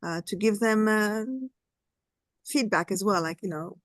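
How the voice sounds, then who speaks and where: A woman's voice: mid-range voice, fairly even intonation, measured pace, dry recording, clean studio sound. A middle-aged woman with a French accent, a realtor, chatting candidly on a video call and searching for words now and then. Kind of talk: casual meeting conversation.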